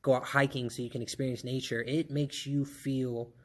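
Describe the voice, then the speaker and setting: A man's voice: medium pitch, little pitch movement, moderate pace. A man in his thirties hosting a casual podcast, easygoing and chatty, laughing easily and swearing casually. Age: 30s. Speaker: podcast host.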